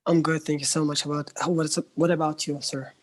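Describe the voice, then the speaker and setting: A young man from Iraq speaking over a video call. His voice sits somewhat high and stays flat in tone, and he sounds polite and a touch unsure. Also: fast. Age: 20s. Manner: polite, hesitant, a little unsure. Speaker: student. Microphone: computer mic on a video call.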